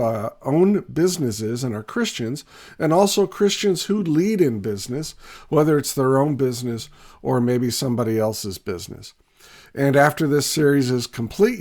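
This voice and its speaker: A man with a raspy voice, still getting over a cold, teaches in a steady, earnest way. His pitch sits in an ordinary middle range, and he pauses often to think. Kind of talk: scripted teaching talk to camera.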